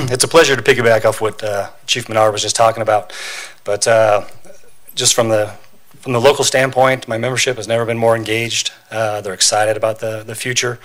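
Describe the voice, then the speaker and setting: A union local leader addressing a city council, halting and full of uhs, appreciative of the city's leaders. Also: male voice, mid-range voice, a bit bright. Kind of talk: council meeting remarks. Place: council chamber.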